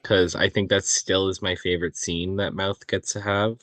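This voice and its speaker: A man's voice: low-pitched, level tone, moderate pace, a bit bright, no room sound. A man chatting casually as a cast member after a table read. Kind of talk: casual chat.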